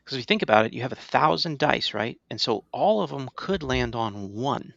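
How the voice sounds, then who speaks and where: A man's voice: medium pitch, expressive, normal speed, vocal fry, fairly bright tone, dry recording, lo-fi sound. A middle-aged man co-hosting a podcast, explaining things in casual chat and often checking in with the listener. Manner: conversational, checks in with "right?". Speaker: podcast co-host.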